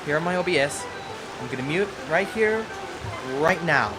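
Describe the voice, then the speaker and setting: Game streamer, mid-pitched and animated, slangy casual commentary over clear background music. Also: moderate pace.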